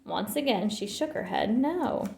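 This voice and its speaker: A woman reading a children's story aloud in an expressive, theatrical way. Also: a little high, a little slow, gravelly, slight background noise. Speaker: storybook reader. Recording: roomy, echoing sound.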